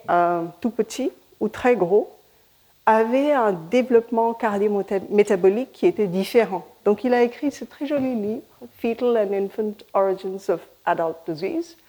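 Woman researcher, Indian accent, Hindi first language; warm, mellow voice, conference talk, hesitant and searching for words. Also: mid-range voice. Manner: halting, searching for words, frequent restarts. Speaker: epidemiology researcher.

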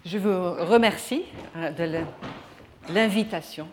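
A woman's voice: on the low side, slight background noise. Careful Dutch-accented woman lecturing. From Netherlands. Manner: careful, hesitant, with frequent pauses. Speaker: guest lecturer. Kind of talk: formal academic lecture. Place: lecture hall.

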